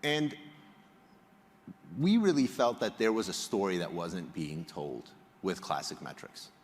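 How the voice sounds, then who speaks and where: A man from Egypt giving a prepared public presentation as a researcher, explaining in a measured way with emphatic phrasing. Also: deep voice, very animated. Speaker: conference speaker, polling researcher. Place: large indoor hall, on stage. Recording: reverberant hall sound.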